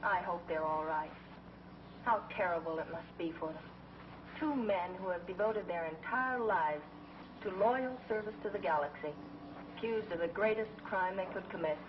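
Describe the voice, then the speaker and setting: A woman's voice: fairly low pitch, moderate pace, highs cut off, lightly acted. A woman playing an earnest, caring young heroine in a 1950s TV drama. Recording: distant, hissy old TV sound.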